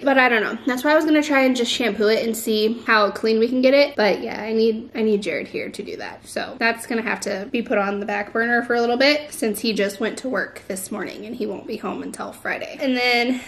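A young woman with a fairly high voice talks casually to her vlog camera in a living room. She is chatty and informal, poking fun at herself as she goes through everyday things. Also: a little hiss or hum. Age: young adult. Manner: chatty, self-deprecating, informal. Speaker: vlogger. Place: living room at home, indoors.

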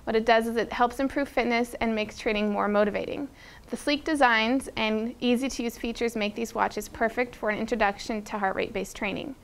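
A woman's voice: a little high, fairly even intonation, fairly quick. A young woman presenting running shoes and gear for a retailer, reading a script in an upbeat way with small slips, on a lapel mic in a studio. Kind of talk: scripted product rundown. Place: studio with a plain grey backdrop.

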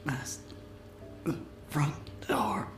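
A man voicing a gentle, heartbroken young stallion in a dramatic animated comic, weak and halting, often near a whisper. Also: on the high side, full character voice. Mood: dying, weak. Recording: echoey, music over voice.

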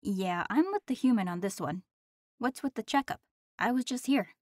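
A woman's voice: fairly high pitch, lively intonation, moderate pace, darkish tone, dry recording, natural voice. A woman playing a sardonic, cocky female demon with a dry, teasing manner in an audio roleplay.

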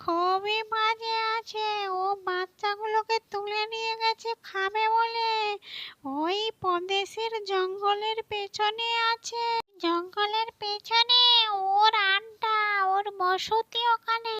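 Tiny whiny creature for kids puppet show, super squeaky, slow drawn out words. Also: female voice, steady tone, sharp tone, dry recording, exaggerated voice. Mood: revealing. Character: small squeaky oracle creature answering a shaman. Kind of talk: puppet story character voice for children.

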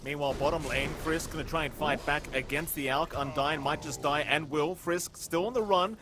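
A man doing excitable play-by-play commentary on a video game match, teasing his co-caster and climbing in pitch at big plays. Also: moderate pace, very noisy. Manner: excitable, teasing, rises sharply in big moments. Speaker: esports caster.

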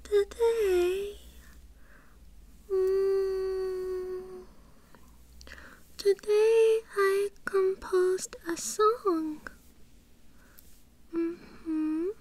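Very high, shy British girlfriend. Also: steady tone, drawn-out words, pauses often, heavily acted. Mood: shy, playful. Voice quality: soft, breathy, often whispery. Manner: timid, cutesy, hesitant. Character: shy, childlike, sweet submissive young girlfriend. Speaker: audio roleplay performer. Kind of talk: ASMR girlfriend roleplay.

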